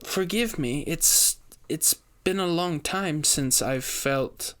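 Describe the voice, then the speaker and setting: An elegant society hostess, as a male narrator puts her on, speaks in a lifted, genteel voice. She is formal and measured, a seductive woman of wealth in a dramatic audio story. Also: on the high side, a bit bright, pitched above the performer's own voice. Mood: sultry, coy.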